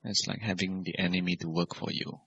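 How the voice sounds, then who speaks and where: A man teaching guided meditation as a Buddhist monk, speaking in measured, simple phrases and gentle questions. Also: deep voice, little pitch movement, a little hiss or hum. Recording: dull, lo-fi recording.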